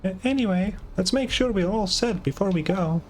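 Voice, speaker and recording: Man putting on a chirpy, high-pitched little fairy companion. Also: moderate pace, background noise, lightly acted. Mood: nervous, scolding. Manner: chirpy, exclamatory, questioning. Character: small chatty high-voiced fairy companion, excitable, fretful. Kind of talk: video game dialogue read aloud.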